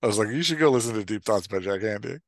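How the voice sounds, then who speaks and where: A man's voice: fairly low pitch, expressive, racing, no echo, studio quality. A man in his forties co-hosting a casual podcast discussion in a playful, joking manner, speaking into a headset mic on a video call. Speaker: podcast host and dialogue coach. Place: indoor room at home, video call.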